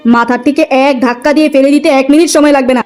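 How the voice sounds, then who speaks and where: Woman voicing an arrogant high-pitched young landlord's son. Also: steady tone, fast talker, a little mellow, soft background music, close to an everyday voice. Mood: jealous, scornful. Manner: scolding, contemptuous. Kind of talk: cartoon moral story acting.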